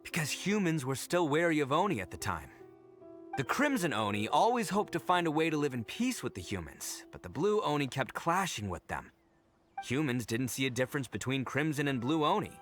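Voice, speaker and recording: A man's voice: on the high side, very animated, fairly bright tone, soft background music. A man voicing a proud, earnest oni gang leader in a video game, telling things dramatically with heavy emphasis. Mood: dramatic storytelling. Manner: dramatic, emphatic storytelling with sighs and drawn-out words. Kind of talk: animated video game voice acting.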